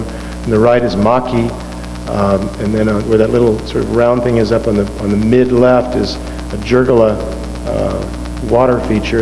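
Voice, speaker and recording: A man walks an audience through his architecture slides, his lowish voice stopping and restarting on many fillers. The archival recording has the long echo of a large hall, with music clearly audible behind him. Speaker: architect presenting on a panel. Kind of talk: slide presentation at a lecture. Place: lecture hall.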